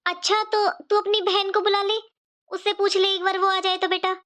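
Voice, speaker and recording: A woman voicing a fretful, caring middle-aged mother-in-law in an animated family drama, with an insistent, repetitive way of talking. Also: very high-pitched, moderate pace. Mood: urging. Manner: fussing, insistent, repeats herself.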